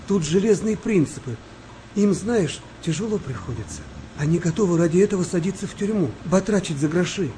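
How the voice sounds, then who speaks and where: Guy acting kind tired navy man near fifty, soft mellow tone, radio drama, bit of noise behind. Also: high-pitched, lightly acted, low-quality recording. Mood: reflective. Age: middle-aged. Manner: gentle, reflective, wry. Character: kind, weary sailor nearing fifty, wartime volunteer from Quaker family. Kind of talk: naturalistic radio play dialogue.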